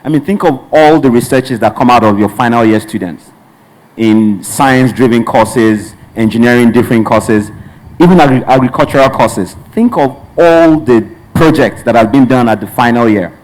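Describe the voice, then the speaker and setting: A man's voice: medium pitch, moderate pace, a little mellow, low-quality recording. A man from Nigeria speaking on a conference panel in a mid-range voice. He talks earnestly and at length, circling back and restarting his sentences as he works through his point. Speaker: panelist, hub representative.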